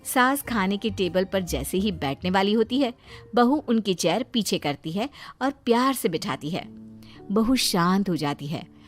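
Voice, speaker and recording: A woman narrating a scripted moral story as an expressive storyteller. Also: medium pitch, measured pace, faint music behind.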